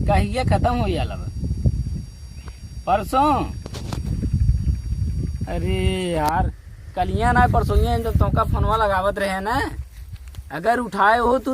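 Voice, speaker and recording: A man with an Awadhi accent from eastern Uttar Pradesh rambles and repeats himself in a casual, complaining phone conversation, recorded outdoors in noisy surroundings. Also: high-pitched. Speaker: comedian doing a phone-call skit.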